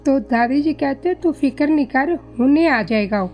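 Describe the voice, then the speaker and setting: A woman's voice: high voice, expressive, moderate pace, darkish tone, faint music behind. A woman with a Punjabi accent telling family stories conversationally as an interview guest. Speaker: guest recalling family history.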